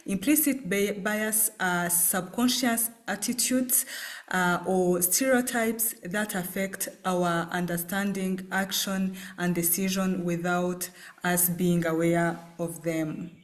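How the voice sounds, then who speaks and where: A woman's voice: lowish voice, fairly even intonation, takes it slowly. A woman from Rwanda giving a class presentation, speaking with frequent filler sounds. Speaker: student presenter.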